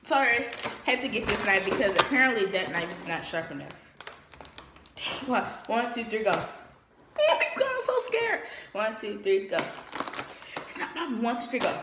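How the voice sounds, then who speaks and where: A young woman chatting casually to camera in an excitable, informal, slangy way, as the host of a home challenge vlog. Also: medium pitch, moderate pace, pauses often. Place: small room at home, indoors. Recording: roomy echo, noisy home laptop recording.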